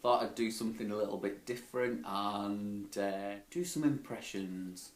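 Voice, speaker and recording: A British man with a slightly low voice chats to camera. He is casual, tentative and rambling. He records on a tablet's built-in microphone as a YouTuber. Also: normal speed.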